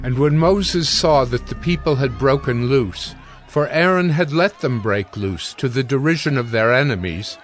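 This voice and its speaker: Casting: male narrator for dramatized scripture, mid-pitched, storytelling delivery with music underneath. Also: animated, normal speed. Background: cinematic music.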